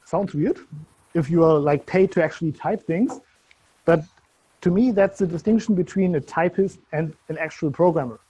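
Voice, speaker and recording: A man's voice: on the high side, normal speed, warm tone, husky, no room sound, low-quality recording. German-accented man giving a tech talk. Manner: loose, searching delivery with frequent ums and restarts. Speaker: conference speaker. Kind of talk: tech meetup presentation.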